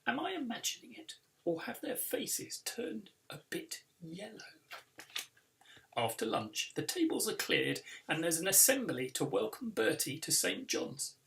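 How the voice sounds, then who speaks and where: Bright, creaky British man reading a storybook. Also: sits high, sing-song, unhurried, recorded from a distance. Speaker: author reading own book. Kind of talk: expressive children's storybook reading.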